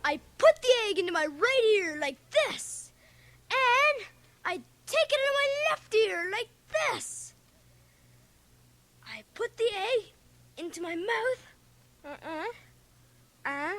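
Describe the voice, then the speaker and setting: An actress playing a bookish, cheeky young schoolboy in a radio drama, earnest and theatrical. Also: female voice, very high-pitched, sharp tone, heavily acted. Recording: hissy home cassette transfer of radio drama.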